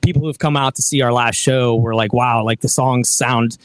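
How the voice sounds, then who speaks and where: A man's voice: medium pitch, fairly even intonation, normal speed, clean tone, dry recording. An American man, a band member, speaking earnestly in a casual interview, often stopping and restarting his sentences. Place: bowling alley venue.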